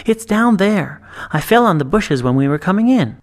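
A man doing a high, blunt little girl. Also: big pitch swings, moderate pace. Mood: matter-of-fact. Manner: high, blunt, matter-of-fact. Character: bold little village girl, blunt and matter-of-fact.

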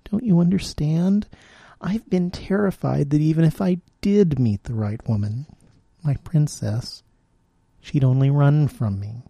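A man voicing a gentle lonely frog. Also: fairly high pitch, unhurried, creaky, husky, no echo, exaggerated voice. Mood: gentle earnest. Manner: gentle, earnest, pleading. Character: lonely male enchanted frog, gentle, hopeful.

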